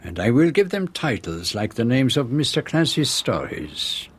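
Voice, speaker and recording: Husky, courteous foreign detective. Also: male voice, medium pitch, sing-song. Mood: quietly confident. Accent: French-speaking European. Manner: precise, formal, deliberate phrasing. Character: fussy, shrewd, courteous foreign male detective. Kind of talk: radio drama acting and first-person narration.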